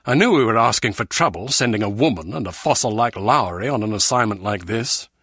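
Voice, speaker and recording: A man's voice: fairly low pitch, lively intonation, fairly quick, a bit bright, husky, no room sound, studio quality. A man playing a pompous, scathing spy agency official in a video game. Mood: scornful.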